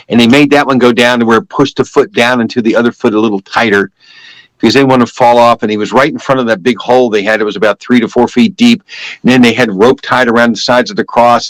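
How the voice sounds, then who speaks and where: A man's voice: medium pitch, no room sound, poor audio. An older man giving a personal religious testimony as a guest, telling it in an emphatic, repetitive, conversational way.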